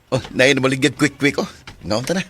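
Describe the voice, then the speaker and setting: A man's voice: medium pitch, animated, fairly quick, a bit bright, faint music behind, lightly acted. An actor playing an earnest, apologetic man pleading for trust in a radio drama, with a Cebuano Filipino accent. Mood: apologetic, eager.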